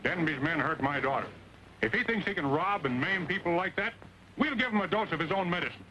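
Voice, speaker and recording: A hot-headed, vengeful American townsman talks very fast in a sharp, forceful voice. It is urgent old Western film acting, with slight soundtrack noise behind it. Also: a little high, animated, muffled recording.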